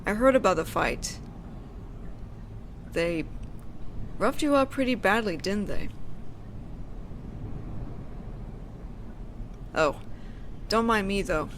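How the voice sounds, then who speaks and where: A woman playing a teenage delinquent girl in an intimate audio roleplay, casual and teasing with a softly caring side and thoughtful pauses. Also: mid-range voice, animated, slight background noise, lightly acted. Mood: casual concern. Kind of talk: slow intimate audio roleplay addressed to the listener.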